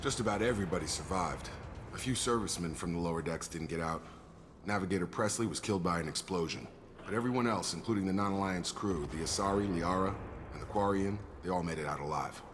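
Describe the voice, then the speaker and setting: An actor playing a steady, loyal young soldier in a video game, plain-spoken and informal with an American accent. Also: male voice, low-pitched, fast talker, noisy background, natural voice. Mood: matter-of-fact, explaining. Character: steady, loyal male soldier and station security chief.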